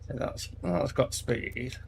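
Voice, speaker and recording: A man's voice: mid-range voice, lively intonation, slow, creaky, a little mellow, slight background noise. A man casually thinking aloud while playing a racing game, hesitant and rambling. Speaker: gameplay streamer. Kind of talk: casual gaming commentary to himself.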